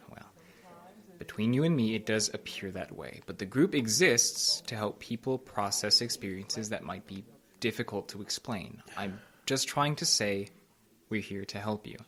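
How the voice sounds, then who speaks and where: A man playing a concerned, fatherly support group facilitator who speaks gently and earnestly. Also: lowish voice, normal speed.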